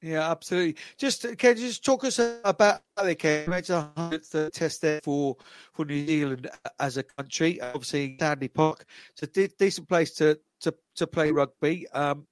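A man hosting a sports podcast, interviewing guests in a casual, halting way that often repeats words. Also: fairly high pitch. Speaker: podcast host.

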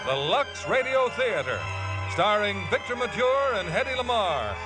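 A high-voiced American man makes a formal announcement in old radio style. His delivery swings boldly up and down over loud orchestral music on a vintage broadcast. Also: big pitch swings, moderate pace.

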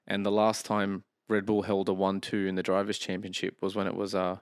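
A man co-hosting a casual sports podcast, laid-back and quick to laugh. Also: deep voice, monotone, clear, resonant tone, dry recording, studio quality. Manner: laid-back, laughs often, says "mate". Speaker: podcast co-host. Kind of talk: casual chat.

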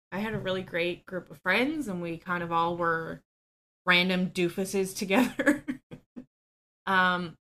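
Candid woman with a bright voice. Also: fairly low pitch. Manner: open and self-deprecating, laughs easily, frequent ums. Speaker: podcast guest. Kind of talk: candid personal storytelling.

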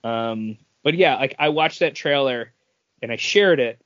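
A man's voice: medium pitch, measured pace, fairly bright tone, dry recording. A middle-aged man co-hosting a podcast, chatting casually about entertainment news in a rambling, anecdotal way. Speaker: podcast co-host. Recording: dull lo-fi sound.

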